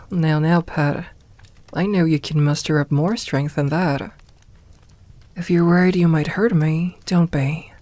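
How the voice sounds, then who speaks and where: A woman playing a doting, teasing evil lamia queen in an ASMR roleplay, soft-spoken and unhurried, with formal phrasing and near-whispered murmurs. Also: deep voice, steady tone, no echo. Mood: gentle, reassuring. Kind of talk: ASMR roleplay, intimate personal attention.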